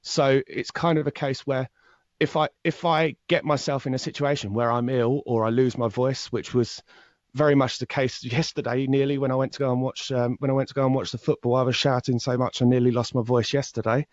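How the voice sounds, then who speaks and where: A man talks through his work as an interview guest in a loose, long-winded way, often repeating a word before pressing on. His voice sits at a middling pitch and comes across a little dull and muffled. The room adds no echo at all. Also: steady tone, brisk. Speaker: podcast guest, true crime podcaster. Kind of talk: casual interview answer.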